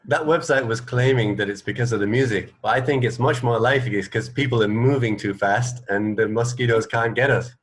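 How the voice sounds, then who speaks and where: A man co-hosting a radio show, chatting casually in an informal, halting way. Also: medium pitch, husky. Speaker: radio co-host. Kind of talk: casual chat.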